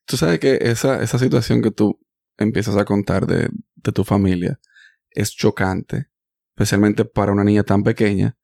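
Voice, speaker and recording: A Dominican man hosts a conversation in a low, gravelly voice with a dark, mellow tone. He speaks calmly, agrees often and picks up his guest's words to finish them. The sound is dry and close. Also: moderate pace, no echo. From Dominican Republic. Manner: calm, affirming, finishes the guest's thoughts. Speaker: podcast host. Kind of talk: casual interview conversation.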